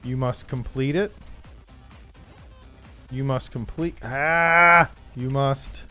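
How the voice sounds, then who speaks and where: A man hosting a casual game livestream, thinking aloud haltingly and improvising story prompts as he goes. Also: mid-range voice, pushed and bright, soft background music. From Canada. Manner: halting, thinking aloud, frequent throat clearing. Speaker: livestream host.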